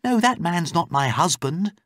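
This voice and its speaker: A man's voice: on the high side, big pitch swings, measured pace, fairly bright tone, no room sound, studio quality, voice pushed up. A man narrating a storybook, playing an anxious, wary woman held captive behind a locked door. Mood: wary, urgent.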